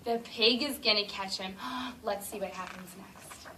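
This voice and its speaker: A woman teacher reading a picture book aloud to a class of children in an expressive, drawn-out storytelling way, stopping to ask eager questions. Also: mid-range voice. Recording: distant classroom camera with faint noise.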